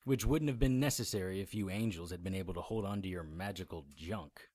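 Low, husky wry hero. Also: male voice, brisk, darkish tone, no echo. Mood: defiant. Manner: dry, noir-like first-person storytelling. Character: wry, defiant male demon hunter and hero. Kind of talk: radio drama acting and narration.

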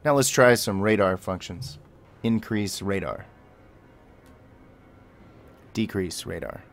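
Very low-pitched man doing game tutorial. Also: slow pace, vocal fry. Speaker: tutorial host. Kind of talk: gameplay tutorial commentary.

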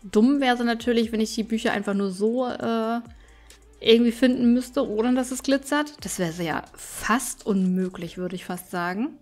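A high-pitched female let's player talks casually through her game. She thinks aloud in a chatty way, with music playing behind her. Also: normal speed, a little mellow. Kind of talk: casual gameplay commentary.

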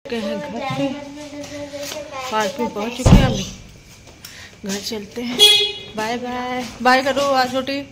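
A woman's voice: fairly high pitch, fairly even intonation, measured pace, long pauses, heavy background noise. A woman in her thirties chattily narrating her family's outing for a home vlog, filmed on a phone camera. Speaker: family vlogger. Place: home, then a large restaurant hall.

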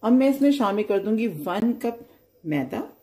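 A woman hosting a cooking channel, giving calm, plain step-by-step recipe instructions over her cooking. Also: fairly high pitch, measured pace.

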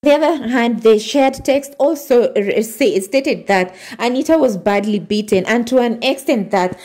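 A woman's voice: a little high, normal speed, darkish tone. Woman narrating gossip news. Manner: reads quoted posts aloud with frequent fillers and restarts. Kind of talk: read-aloud celebrity gossip narration.

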